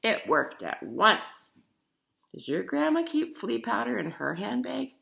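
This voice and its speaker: Animated, bright-voiced woman reading a storybook. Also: on the high side, a little slow, gravelly. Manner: animated, addresses child listeners with questions. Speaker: storybook reader. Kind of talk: expressive read-aloud of a children's picture book.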